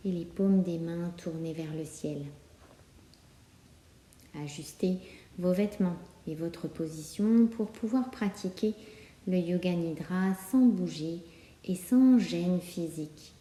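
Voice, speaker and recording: A woman guiding a yoga nidra relaxation, speaking calmly and soothingly with many pauses. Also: fairly low pitch. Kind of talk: guided relaxation meditation. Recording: roomy, echoing sound.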